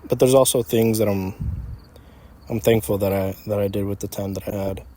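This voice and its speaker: A man's voice: fairly low pitch, moderate pace. A man in his early twenties talking reflectively and casually, feeling out his phrases as he goes, in an unscripted conversation. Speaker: young entrepreneur. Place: outdoors in an empty parking lot. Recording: steady outdoor insect noise. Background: crickets and night insects.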